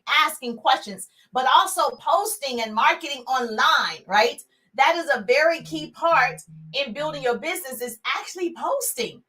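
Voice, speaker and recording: A woman motivational speaker and business coach with a big, full voice, giving an energetic live-stream pep talk straight to her viewers. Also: high-pitched. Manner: energetic, preachy, talks straight to viewers with repeated calls to share.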